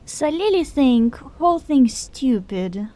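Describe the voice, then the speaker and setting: A small female harpy from a fantasy story, put on by a male narrator, pitched very high. She is bored and blunt, and speaks in clipped broken English. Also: sing-song, takes it slowly, dark tone, exaggerated voice. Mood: bored, dismissive. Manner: broken, simplified grammar.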